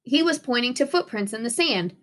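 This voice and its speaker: A woman's voice: a little high, animated, brisk, fairly bright tone. A woman teacher reading a children's story aloud expressively, with dramatic emphasis for young listeners.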